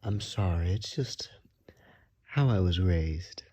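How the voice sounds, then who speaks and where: A courteous gentleman vampire, acted by a man for an ASMR roleplay, speaks in a low, breathy half-whisper. He takes his time, ingratiating and old-fashioned, with hesitant flattery. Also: takes it slowly, exaggerated voice. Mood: grateful, charmed. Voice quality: soft, breathy, often whispered.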